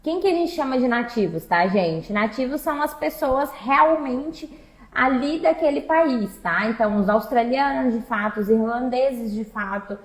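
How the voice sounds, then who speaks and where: A woman's voice: on the high side, lively intonation, moderate pace. A woman chatting casually and expressively, telling personal anecdotes and checking in with listeners. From interior of Minas Gerais, Brazil. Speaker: exchange agency staff member co-hosting a talk. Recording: echoey room.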